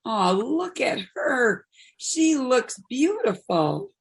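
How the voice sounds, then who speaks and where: A woman acting a gentle, supportive friend in her fifties in a play reading, speaking in a reassuring way. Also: on the high side, sing-song, drawn-out words, vocal fry, breathy edge, exaggerated voice. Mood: delighted. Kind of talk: play reading, naturalistic dialogue.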